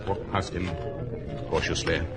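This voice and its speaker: An actor playing a self-assured gentleman detective in a 1940s radio drama, clipped, precise and authoritative. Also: sits low, fairly even intonation, unhurried, loud music behind the voice. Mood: urgent, cautious.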